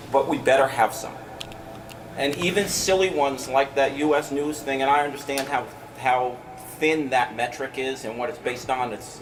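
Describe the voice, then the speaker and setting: Parent at public comment, emphatic, distant stand mic in an echoey room. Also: male voice, on the high side. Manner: emphatic, keeps asking "right?". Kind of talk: public comment at a school board meeting. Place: large school meeting room. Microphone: stand mic a few feet away. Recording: roomy, distant, noisy room sound.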